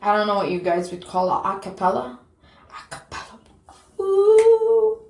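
A woman's voice: mid-range voice, huge pitch swings, measured pace, gravelly, darkish tone. A woman vlogger chatting casually and self-deprecatingly to her viewers, full of fillers. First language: not Korean. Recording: echoey room.

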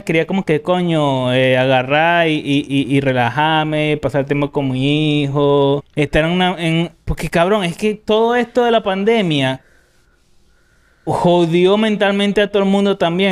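Man, guest, casual profane storytelling, clear resonant tone. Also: fairly high pitch. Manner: loose, profane storytelling with frequent laughs. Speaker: guest, music producer. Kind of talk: casual podcast chat.

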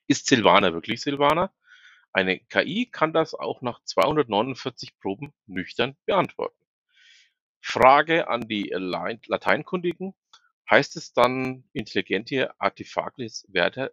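A man's voice: medium pitch, a bit bright, no room sound, highs cut off. A man hosting a regional news podcast, reading items with informal asides to listeners, his speech a bit slurred from a numb mouth after the dentist. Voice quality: slightly slurred articulation. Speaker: newsletter podcast host.

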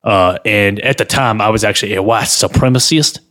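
A man's voice: lowish voice, fairly bright tone, lightly acted. A man playing a hesitant, stammering street performer who promotes his one-man show in an improvised comedy interview. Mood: bumbling. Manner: halting, stammering, full of filler sounds.

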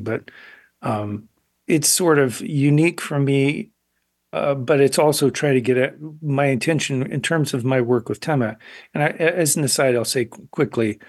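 An older man, a guest artist on a radio interview, answering thoughtfully with frequent restarts and pauses. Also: medium pitch, husky, dry recording, studio quality.

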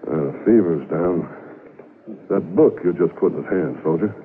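Firm frontier sheriff, tinny radio. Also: male voice, sits low, a little slow. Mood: matter-of-fact. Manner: plain-spoken, firm. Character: gruff, steady, protective frontier sheriff. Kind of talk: old-time radio western acting. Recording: tinny radio broadcast with crowd behind.

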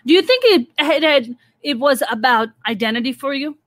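A high-pitched woman from Pakistan hosts the conversation, asking curious, lively questions. Her voice is recorded close and dry, with no room sound. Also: moderate pace, dry recording. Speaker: host. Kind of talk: interview conversation.

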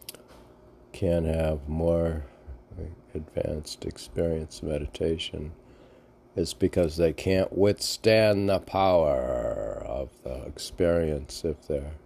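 A man reading poetry aloud with halting, musing, informal commentary, often answering his own questions. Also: very deep voice, vocal fry, dark tone. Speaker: reader and commentator of mystic poetry.

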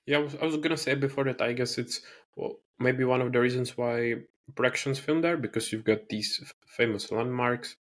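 A man's voice: medium pitch, brisk, few pauses. Curious male interviewer asking follow-ups. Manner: curious, asks follow-up questions, laughs easily. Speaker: interviewer, host. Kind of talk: casual interview.

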